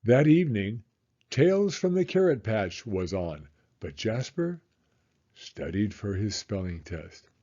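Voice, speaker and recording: Man storyteller with lively, sweeping pitch. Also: highs cut off. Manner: theatrical, suspenseful, with drawn-out emphasis. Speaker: children's storybook reader. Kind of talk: dramatic read-aloud storytelling for children.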